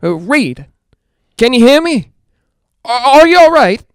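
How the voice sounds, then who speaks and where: Actor as high-voiced, jittery radio broadcaster. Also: male voice, sing-song, clean tone, no room sound, clean studio sound, full character voice. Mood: anxious, rattled. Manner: nervous, rambling, halting, self-reassuring. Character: jittery, lonely man broadcasting alone from haunted house. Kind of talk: 1940s-style radio drama monologue.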